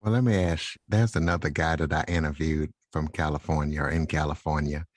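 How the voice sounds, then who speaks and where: Man, podcast host, very deep voice, casual questioning, dry close sound. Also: steady tone, brisk, dry recording.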